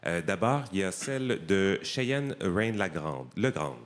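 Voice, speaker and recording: A man with a fairly low voice hosts a talk show. He interviews casually, putting questions and introducing segments in a conversational way. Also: sing-song, a little slow. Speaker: host.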